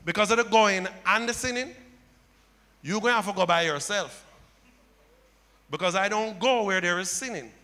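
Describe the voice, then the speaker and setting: A middle-aged male pastor preaching a sermon on a church stage into a handheld mic, building to loud impassioned cries. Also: sits high, moderate pace, pauses often. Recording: long hall reverb.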